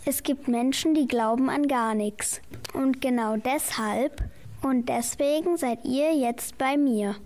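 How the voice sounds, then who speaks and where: A woman's voice: sits high, slow, a little mellow. A woman playing a patient Christmas angel in an amateur radio play, explaining the nativity story with careful reading. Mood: earnest.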